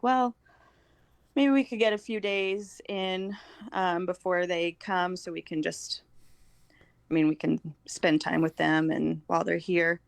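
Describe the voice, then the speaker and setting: Lowish-voiced woman chatting casually, very close sound. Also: gravelly, no room sound. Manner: informal, hesitant, peppered with "like". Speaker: podcast guest, homeschooling mother. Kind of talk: casual chat.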